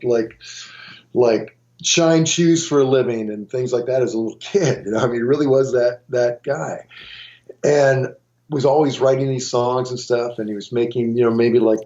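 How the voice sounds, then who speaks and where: A man's voice: mid-range voice, mellow, muffled recording. A middle-aged man from Montana, a guitarist and songwriter, telling rambling stories in a casual interview with many restarts. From Montana, USA.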